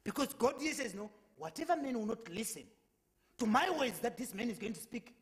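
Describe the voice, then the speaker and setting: A man's voice: very high voice, sing-song, fairly quick, fairly bright tone. A young man speaking as a preacher in a public debate, earnestly quoting scripture at length from a lectern microphone in a lecture hall. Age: young adult. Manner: earnest, quotes verses at length, repeats words when restarting. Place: lecture hall, at a lectern before a brick wall.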